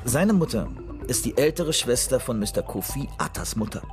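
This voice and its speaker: An actor playing a shrewd, cynical investigator in a radio drama, speaking in a methodical, matter-of-fact way. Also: male voice, medium pitch, big pitch swings, normal speed, over music, close to an everyday voice. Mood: methodical, official. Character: shrewd, cynical male investigator reciting a fabricated case report. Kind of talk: radio drama dialogue, reading out a report.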